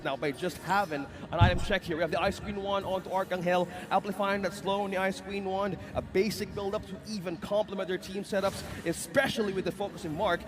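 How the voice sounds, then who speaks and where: A man's voice: high voice, fairly even intonation, brisk, few pauses. A man commentating an esports broadcast, breaking down items and builds in detail. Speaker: esports caster. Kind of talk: analytical play-by-play commentary.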